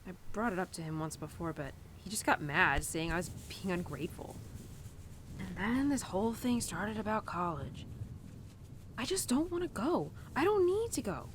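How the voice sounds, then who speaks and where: A woman's voice: mid-range voice, big pitch swings, normal speed, a little hiss or hum, natural voice. A young adult woman playing a stubbornly independent, conflicted cowgirl in an audio roleplay, confiding in her horse in a chatty, hesitant, informal way. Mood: frustrated, hurt. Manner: chatty, hesitant, informal, peppered with "like" and "you know". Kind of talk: audio roleplay monologue, intimate and conversational.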